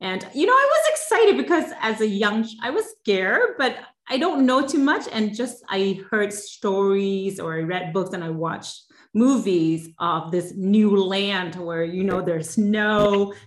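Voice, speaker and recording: A woman's voice: mid-range voice, animated, unhurried, echoey room. A woman in her forties, originally from Vietnam, telling her life story as an interview guest in a reflective way with many fillers and restarts. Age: 40s. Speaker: guest, life and business coach.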